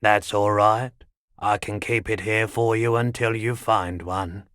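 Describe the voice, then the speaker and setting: An actor playing a laid-back, eccentric male pawnshop keeper in a video game, deadpan and unhurried, with drawn-out phrasing. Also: on the low side, no echo, studio quality. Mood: businesslike, easygoing. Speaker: shopkeeper character. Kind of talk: video game character dialogue.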